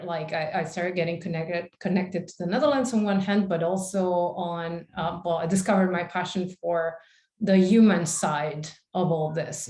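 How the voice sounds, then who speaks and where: A woman's voice: lowish voice, darkish tone. A woman in her forties from Italy, giving a chatty invited talk over a video call, with many fillers. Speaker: invited speaker. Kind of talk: conference talk with slides. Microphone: video call webcam. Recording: echoey room.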